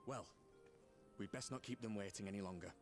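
Fantasy game soldier male actor: British, earnest, self-doubting but resolute, plain-spoken, on the deeper side. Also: brisk, over music, natural voice. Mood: steady. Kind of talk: game cutscene voice acting.

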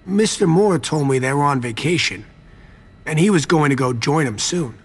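A man's voice: a little high, expressive, moderate pace, slightly rough. An actor voicing a nervous, servile vampire garage attendant in a video game, pleading and evasive in his manner. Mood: eager, explaining. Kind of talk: video game cutscene acting.

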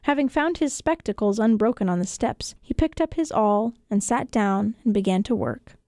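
A woman's voice: fairly high pitch, moderate pace, darkish tone, no room sound. A woman reading a children's story as a scripted narration, recorded in a radio studio.

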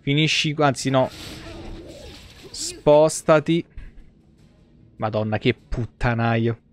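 A man's voice: mid-range voice, takes it slowly, faint music behind. A man giving casual, foul-mouthed and jokey live game commentary in slangy speech. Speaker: video game streamer.

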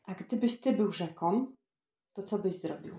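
A woman's voice: medium pitch, unhurried, warm tone. A woman asking children simple, open questions as an interviewer. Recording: dull, echoey, noisy room recording.

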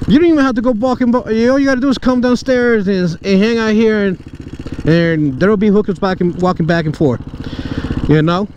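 A man's voice: sits high, highs cut off. A man talking casually and crudely, swearing and joking as he rambles for his vlog while riding a motorcycle outdoors in the city. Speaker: motorcycle vlogger. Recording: clear engine and street noise. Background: motorcycle engine, traffic, street ambience.

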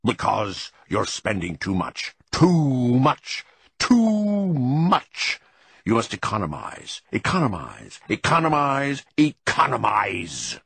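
A man voicing a tough-talking, stingy old grandfather mouse in a storybook, shouting and domineering, with drawn-out emphasis. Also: on the low side, big pitch swings, gravelly, breathy edge, dry recording, exaggerated voice. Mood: scolding, emphatic. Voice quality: shrieking, shouting.

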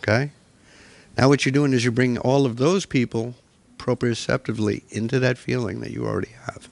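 A man's voice: medium pitch, fairly even intonation, a little fast, vocal fry, dry recording. A middle-aged man presenting a workshop in a hotel meeting room, coaching people step by step.

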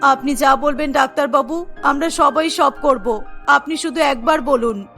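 A woman's voice: high voice, measured pace, pushed and bright, faint music behind, full character voice. A woman voicing a grateful, humble village parent in a cartoon, deferential and emotional in manner. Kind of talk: Bengali cartoon voice acting.

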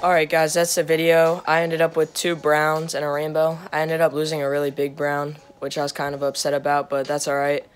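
A man's voice: on the high side, a little fast, hardly any pauses. A young man talking casually on a fishing vlog, recorded outdoors by a creek. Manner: relaxed, chatty, informal. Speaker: fishing vlogger. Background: background music.

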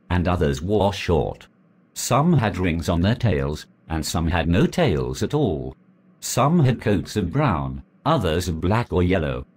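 Low, husky-voiced man, expressive storyteller reading a legend. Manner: measured, deliberate storytelling. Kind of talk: reading a folk legend aloud.

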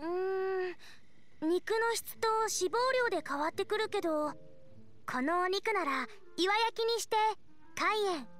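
Teen girl cook, anime game: extremely high, bright, earnest, confident, soft music underneath. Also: unhurried. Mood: explaining. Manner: earnest, girlish, plain-spoken. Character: spirited teenage girl chef, earnest and confident. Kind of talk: anime-style game acting.

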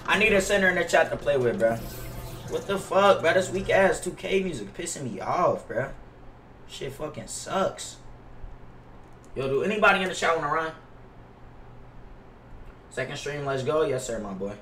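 A man's voice: on the high side, lively intonation, moderate pace, long pauses, fairly bright tone, echoey room, distant mic, faint music behind. A young American man in his twenties chatting casually on a gaming livestream, profane, slangy and repetitive in his griping. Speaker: game streamer. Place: indoor home gaming room. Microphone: headset mic.

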